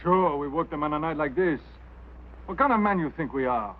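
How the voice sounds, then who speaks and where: An actor playing a stubborn, put-upon road crew foreman with a French Canadian accent and halting phrasing, in mid-century screen drama style. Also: on the high side, animated, narrow, tinny audio, close to an everyday voice. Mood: wry, grumbling. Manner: halting, non-native phrasing. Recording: thin, noisy old film soundtrack.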